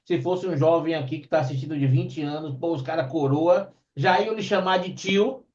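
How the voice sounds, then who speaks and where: A man's voice: a little high, normal speed, darkish tone, far from the mic, dull audio. A man in his fifties hosting a casual chat, a mentor who likes folksy sayings. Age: 50s. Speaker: host.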